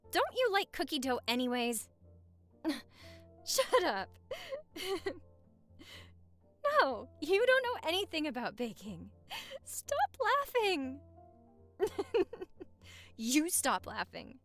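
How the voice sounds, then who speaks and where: High, lively, playful young wife played by a woman. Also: heavily acted. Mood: mock-defensive, amused.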